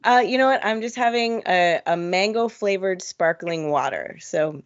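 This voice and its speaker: A woman's voice: medium pitch, normal speed, muffled recording. A woman hosting a podcast, asking casual interview questions and giving encouraging responses. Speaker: podcast host.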